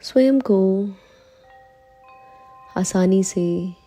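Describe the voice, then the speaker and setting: Slow, mellow woman guiding a meditation. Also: medium pitch. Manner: calm, soothing, unhurried instruction with long pauses. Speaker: meditation guide. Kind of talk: slow guided meditation in Hindi.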